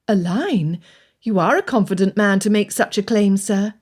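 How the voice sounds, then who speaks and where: A woman voicing a spirited, kind young gentlewoman from a period storybook. Her speech is polite and lively, close to the narrator's own voice. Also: medium pitch, moderate pace, lightly acted. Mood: teasing. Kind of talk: audiobook character voice.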